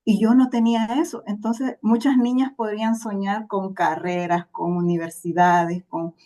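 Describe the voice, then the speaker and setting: A woman's voice: mid-range voice, level tone, moderate pace, dark tone. A Salvadoran woman from El Salvador, a podcast guest telling her life story in an earnest, reflective way. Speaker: interview guest. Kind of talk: personal storytelling.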